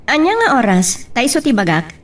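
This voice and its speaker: A woman's voice: a little high, huge pitch swings, fairly quick. A woman with an Ilocano Filipino accent playing a worried young cousin and confidante in an audio drama. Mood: polite. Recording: faint background noise.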